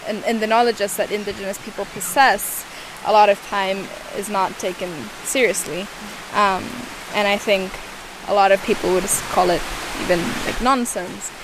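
A woman's voice: medium pitch, noisy background. A young woman with another first language than English, answering interview questions thoughtfully and searching for her words. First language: not English. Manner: hesitant, searching for words, many restarts.

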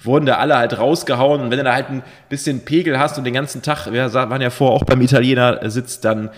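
A hoarse man in his thirties rattles off a long, rambling party story at a rapid clip. His voice carries a slightly croaky edge from the night before. A long reverb tail trails behind him. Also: medium pitch, steady tone. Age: 30s. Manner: rambling storyteller with many fillers. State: hoarse from drinking. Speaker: podcast co-host. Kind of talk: casual chat.

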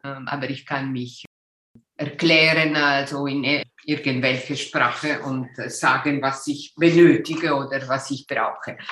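A woman's voice: low-pitched, a bit bright, echoey room. A woman in her sixties from Ticino, Italian native, speaking German with an Italian-Swiss accent, hesitant and searching for words as an interview guest. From Ticino, Switzerland. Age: 60s. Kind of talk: casual interview chat.

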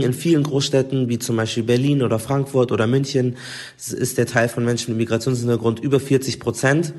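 A man's voice: mid-range voice, a little mellow. Chatty male podcast host. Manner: chatty, loose with fillers. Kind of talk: casual podcast intro.

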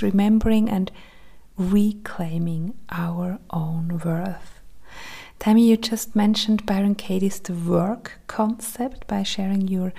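A woman hosting an interview podcast, speaking calmly and earnestly, often softly at the edge of a whisper. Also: medium pitch, gravelly. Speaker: podcast host.

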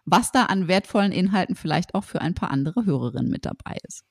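A woman's voice: on the low side, lively intonation, quick pace, vocal fry, no room sound, studio quality. Chatty upbeat woman podcast host. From Berlin. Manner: upbeat, chatty, lots of fillers and restarts. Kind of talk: casual podcast interview. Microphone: remote call, host side.

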